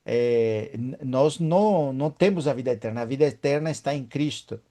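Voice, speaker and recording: A man's voice: mid-range voice, moderate pace, warm tone. A man leading a Bible study, emphatic and often asking his listeners for agreement.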